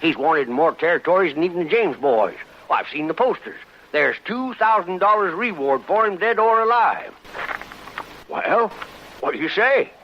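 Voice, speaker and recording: An actor playing a greedy, colorful American wagon driver in a radio western, with a folksy frontier drawl. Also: male voice, sits high, very animated, moderate pace. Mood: scheming, greedy. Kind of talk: old-time radio western acting. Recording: muffled, noisy vintage radio recording.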